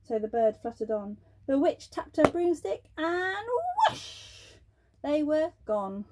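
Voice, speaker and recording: Expressive, high-voiced woman reading stories. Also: slow pace, slight background noise. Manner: expressive, addresses young listeners. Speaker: storyteller. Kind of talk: children's bedtime story read aloud.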